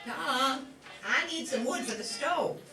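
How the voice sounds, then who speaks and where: A woman's voice: high voice, slow pace, pushed and bright, heavily acted. A woman playing a hospitable, chatty country wife in an old-time radio drama. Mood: welcoming, homely. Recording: roomy, distant mic, faint music behind.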